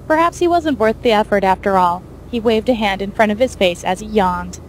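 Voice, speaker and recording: A woman's voice: medium pitch, a little fast, slight background noise. A woman reading scripted fiction as the narrator of an audio story.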